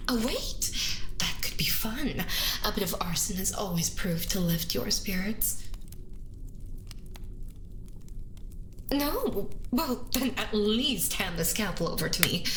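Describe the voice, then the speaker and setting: A woman voicing a doting, bloodthirsty villain wife in a dramatic ASMR roleplay, breathy and often slipping into whispers. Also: lowish voice, a bit bright, echoey room, a little hiss or hum, rough recording. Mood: coaxing, wounded. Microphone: close binaural microphone.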